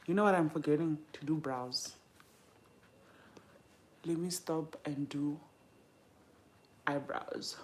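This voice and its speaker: A young South African woman hosts a casual livestream in a slightly low voice. She is chatty and informal, thinking aloud as she goes and often letting sentences trail off before picking up a new one. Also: takes it slowly, long pauses, darkish tone. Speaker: livestream host. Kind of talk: casual chat while doing makeup.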